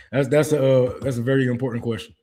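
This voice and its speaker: A man's voice: medium pitch, fairly even intonation, moderate pace, dark tone. A man with a Detroit accent hosting a livestream, ranting with heavy profanity, then reading chat and thanking donors. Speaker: livestream host. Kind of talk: casual livestream commentary.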